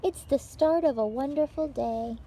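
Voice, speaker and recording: A woman hosting story time for young children, reading aloud in an animated, encouraging way with simple phrasing. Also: high-pitched, moderate pace, slight background noise, rough recording.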